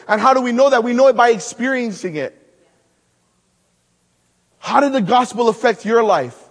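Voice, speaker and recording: A man from Hawaii preaching at a conference, building to loud emphatic peaks and dropping to hushed asides. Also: in a large hall. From Hawaii (Maui). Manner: emphatic, swings between loud rising build-ups and hushed low asides. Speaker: guest preacher. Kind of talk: conference sermon with storytelling.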